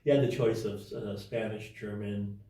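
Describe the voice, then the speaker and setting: A man telling his life story as an interview guest in a casual, halting way, with many restarts and fillers. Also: fairly low pitch, steady tone, mellow, very echoey, far from the mic.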